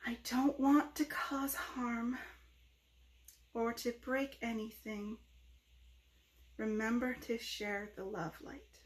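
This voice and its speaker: A woman's voice: on the high side, fairly even intonation, slow pace, long pauses. A woman voicing a lost, hungry neighbor in a rhyming storybook tale, speaking in an earnest, pleading way.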